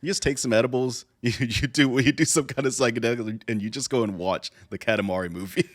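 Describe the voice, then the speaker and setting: A man's voice: medium pitch, fairly even intonation, fast, no echo. A man co-hosting a podcast in a loose, wry, conversational way. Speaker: podcast co-host. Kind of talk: casual group podcast chat.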